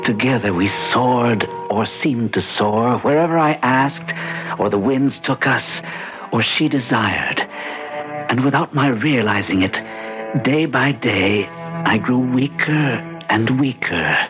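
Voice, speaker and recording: A man playing a haunted, melancholy narrator in an old-time radio drama, speaking in a dreamy, deliberate, theatrical way on a muffled recording. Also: medium pitch, lightly acted. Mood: brooding. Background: loud dramatic music.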